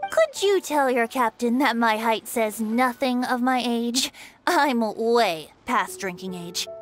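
A woman's voice: high-pitched, fairly bright tone, exaggerated voice. A woman voicing a youthful, carefree male bard in a video game, with a light, teasing, coaxing way of talking.

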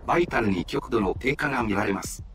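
Man acting as an impassive android assistant, loud music. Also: fairly low pitch, lively intonation, fast talker, distant mic, natural voice. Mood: formal, detached. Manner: polite, clinical, detached announcing. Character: impassive male android accessory, formal mission assistant. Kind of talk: formal scripted mission briefing. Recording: loud game music and battle sounds behind. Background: loud music, vehicle noise.

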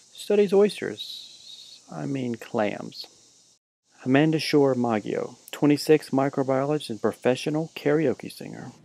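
A man giving deadpan mock-documentary narration, reading out a team roll call for a class parody film. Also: mid-range voice, vocal fry, rough recording.

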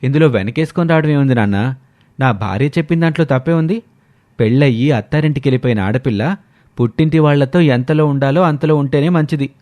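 A man's voice: medium pitch, fast, dark tone, natural voice. A man playing a defiant, sarcastic married son arguing in a family story. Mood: firm. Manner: argumentative, sarcastic. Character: defiant married adult son, argumentative, defending his wife.

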